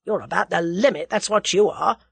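Woman putting on a snarling, bullying businessman, husky. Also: on the high side, lively intonation, normal speed, a bit bright, dry recording, pitched above the performer's own voice. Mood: grudging admiration. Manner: snarling, growling, curt demands. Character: angry, bullying, suspicious businessman, blustering villain.

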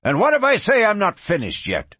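A man's voice: mid-range voice, very animated, normal speed, a bit bright, husky, dry recording, exaggerated voice. A man voicing an arrogant, domineering cattle baron in a Western storybook, explosive and sneering. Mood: furious. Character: arrogant, hot-tempered Western cattle baron, bullying older brother. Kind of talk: dramatised audiobook reading.

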